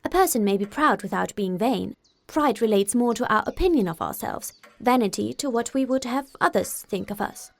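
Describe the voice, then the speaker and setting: A woman's voice: a little high, expressive, normal speed, creaky. A woman voicing a bookish, self-important young woman in an audiobook, earnestly moralizing in a didactic way. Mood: pompous, lecturing. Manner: pompous, didactic. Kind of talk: audiobook character voice.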